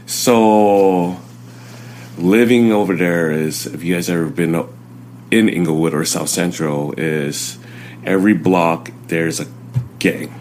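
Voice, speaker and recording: Deep-voiced man telling his story haltingly. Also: a little mellow, slight background noise. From Southern California. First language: Chinese, Vietnamese. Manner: plain, halting storytelling with many fillers. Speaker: guest, CrossFit coach. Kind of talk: personal life story in an interview.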